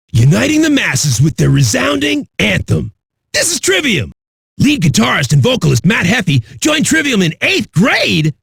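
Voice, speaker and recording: Raspy, amped-up metal radio DJ. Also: male voice, very high voice, a bit bright, dry recording, studio quality, exaggerated voice. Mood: hyped swagger. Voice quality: raspy, gravelly. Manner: aggressive, rowdy, swaggering delivery. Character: brash, hyped-up, foul-mouthed male metal radio DJ. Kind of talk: high-energy rock radio DJ patter.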